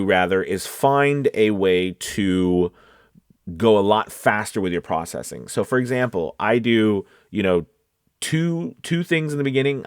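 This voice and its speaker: Low-voiced man, dry studio sound. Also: clean tone, no echo. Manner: conversational, checks in with listeners often. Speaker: voice-over coach and host. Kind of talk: casual talking-to-camera tutorial.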